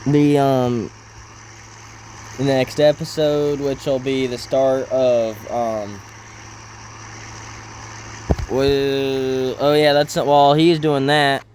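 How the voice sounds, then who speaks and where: A man giving casual role-play gameplay commentary in a halting way, thinking aloud as he hosts. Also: mid-range voice, level tone, darkish tone, a little hiss or hum, low-quality recording. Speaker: gaming video host.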